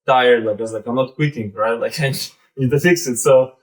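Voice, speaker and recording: A man in his thirties with a Belarusian accent gives an informal live presentation in a halting, conversational way, often saying "like" and "basically". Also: medium pitch. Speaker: presenter, startup founder.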